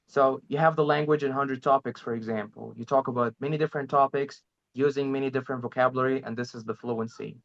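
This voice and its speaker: A man whose first language is Arabic, sharing language-learning tips in a halting, hesitant way. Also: medium pitch, fairly even intonation. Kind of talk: casual interview chat. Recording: slightly dull lo-fi audio.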